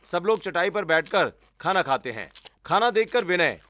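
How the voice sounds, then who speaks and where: A man's voice: high-pitched, big pitch swings, normal speed, a bit bright. A man narrating a scripted story as its storyteller.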